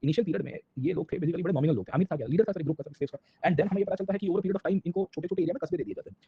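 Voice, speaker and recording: A man with an Indian accent and a husky, rough-edged voice gives an informal classroom lecture as a history teacher, mixing Hindi with English and often checking in with short tags. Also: on the high side, rapid-fire. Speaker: history lecturer for exam students. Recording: dull, lo-fi lecture audio.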